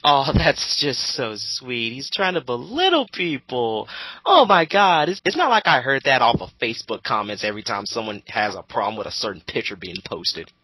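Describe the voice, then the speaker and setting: A man's voice: medium pitch, big pitch swings, moderate pace, sharp tone, slightly rough, no echo, rough recording. A man delivering crude, sarcastic, exasperated commentary in a mocking rant.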